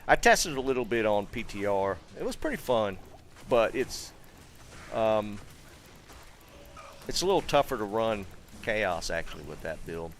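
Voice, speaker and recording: This is a middle-aged man with a Southern American drawl, speaking in a fairly low voice. He chats loosely with his viewers while he plays, drifting between topics and stumbling over restarts and fillers. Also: a little slow, pauses often, slight background noise. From North Carolina, USA. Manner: relaxed, rambling, many fillers and restarts. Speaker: game streamer. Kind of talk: casual live-stream chat reading viewer comments.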